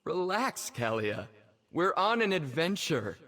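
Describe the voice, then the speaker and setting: A man's voice: a little high, big pitch swings, slow, fairly bright tone, exaggerated voice. A young man playing a cocky, adventurous twin brother in a fantasy video game, breezy and reassuring in manner. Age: young adult.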